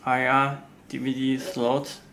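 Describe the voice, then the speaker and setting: A man talks through a product demonstration in halting, simple English that is not his first language, pausing often between short phrases. His voice is gravelly and flat, with little rise and fall, and his pace is slow. A faint hiss of background noise sits under the recording. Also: mid-range voice. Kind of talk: unscripted product walkthrough.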